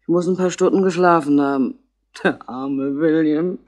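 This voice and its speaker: An actress playing a boozy, sentimental, middle-aged barmaid in a radio crime drama, drawling and maudlin. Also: female voice, deep voice, normal speed, darkish tone, natural voice. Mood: weary, wistful. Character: boozy, sentimental ageing barmaid, lonely and chatty. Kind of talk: 1960s German radio crime drama acting.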